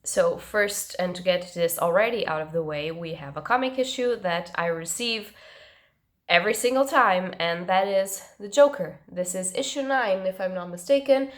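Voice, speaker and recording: A woman's voice: medium pitch, normal speed. A woman vlogging about books in a casual, rambling, self-mocking way, her voice congested and coming through her nose from allergies. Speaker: book vlogger. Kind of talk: casual chat to camera.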